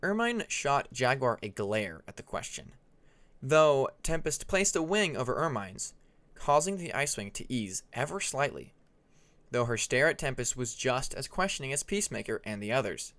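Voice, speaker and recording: A man's voice: medium pitch, sing-song, normal speed, fairly bright tone. A man reading scripted fantasy fiction as an audiobook narrator.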